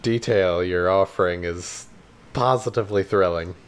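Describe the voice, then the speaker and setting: Blunt, lowish-voiced man chatting. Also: normal speed, clear, resonant tone. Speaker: podcast co-host. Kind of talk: casual chat about video games and films.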